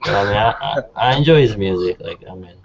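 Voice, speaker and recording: A man's voice: on the low side, expressive, fast talker, lo-fi sound, background noise. A man chatting casually as a panelist, blunt and slangy in how he talks. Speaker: panelist on a music talk show. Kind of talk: casual chat.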